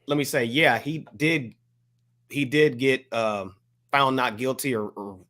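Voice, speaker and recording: An American man co-hosting a casual podcast discussion, measured and hesitant, carefully qualifying what he says. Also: medium pitch, fairly bright tone. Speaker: podcast co-host.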